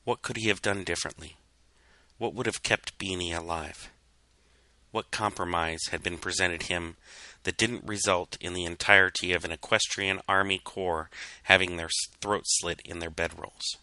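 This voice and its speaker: A man reading a story as a dramatic narrator, solemn and measured in his delivery. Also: low-pitched, steady tone, sharp tone, no echo. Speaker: fan fiction reader.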